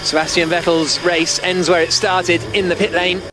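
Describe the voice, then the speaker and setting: A man giving live, excitable and emphatic motor racing commentary as the lead commentator. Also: high-pitched, moderate pace, music in the background. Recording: loud engine noise behind. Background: racing cars and engine roar.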